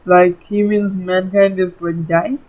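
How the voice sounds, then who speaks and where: A woman's voice: on the low side, level tone, slow pace, darkish tone, poor audio. A woman giving casual let's-play commentary, informal and full of fillers as she reacts aloud. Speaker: gaming YouTuber.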